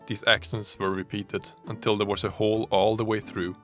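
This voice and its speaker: A man's voice: lowish voice, flat delivery, fairly quick, faint music behind. A man reading a fanfiction story aloud as a dramatic narrator, mock-serious and often amused, with joking asides. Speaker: story reader.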